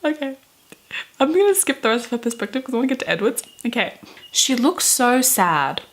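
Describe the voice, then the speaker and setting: A woman's voice: high voice, expressive, fast. A woman, a chatty, wry book vlogger mixing casual talk with dramatic readings. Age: 20s. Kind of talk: casual chat mixed with dramatic readings of fan fiction. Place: small bedroom, indoors.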